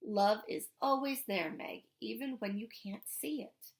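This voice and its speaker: A woman voicing a gentle, affectionate father in a storybook, tender and softly teasing, with an American accent. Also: a little high, unhurried. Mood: reassuring. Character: gentle, affectionate, playful father of a young girl.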